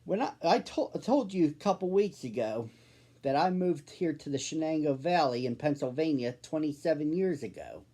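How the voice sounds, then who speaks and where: Husky-voiced man reading a children's story. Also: fairly high pitch, lively intonation, moderate pace, dark tone. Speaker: storybook reader, host.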